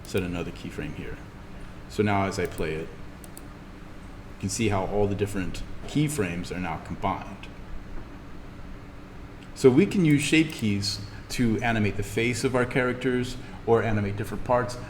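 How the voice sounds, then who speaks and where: A man with a fairly low voice leads a software tutorial in class. He explains informally, one step at a time, as he demonstrates. The room is reverberant, with faint noise behind him. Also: unhurried, pauses often. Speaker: instructor.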